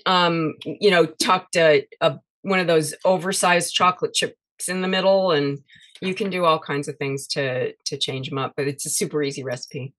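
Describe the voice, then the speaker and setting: Woman, low voice, chatty, lots of ums, casual podcast guest. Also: moderate pace, a bit bright. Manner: chatty, many fillers and restarts. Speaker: guest, cookbook author. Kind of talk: casual interview chat.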